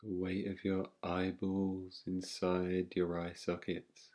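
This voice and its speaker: Slow, deep-voiced man guiding meditation. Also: completely flat delivery, darkish tone. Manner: soothing, unhurried, with long pauses. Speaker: meditation teacher. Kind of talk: guided relaxation meditation.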